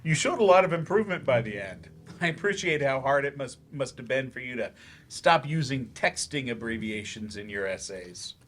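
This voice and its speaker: A man's voice: medium pitch, expressive, normal speed, a bit bright. Man acting an earnest, wry English teacher. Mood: encouraging. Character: earnest, wry male writer turned remedial English teacher. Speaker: actor in a staged play reading. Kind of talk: stage play reading. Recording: live staged reading in a library.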